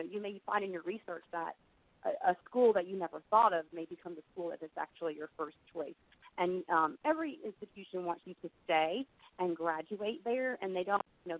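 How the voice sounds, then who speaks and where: A middle-aged woman, slightly froggy from being under the weather, giving a conversational, explanatory webinar presentation as an admissions director. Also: on the low side. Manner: conversational, explanatory, frequent "you know". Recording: phone-like narrow band, dry.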